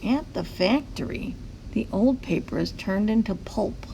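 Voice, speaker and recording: A woman's voice: medium pitch, animated, unhurried, gravelly, dark tone, slight background noise, rough recording. A woman reading a picture book aloud to young children, explaining things simply like a teacher. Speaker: teacher-like read-aloud host.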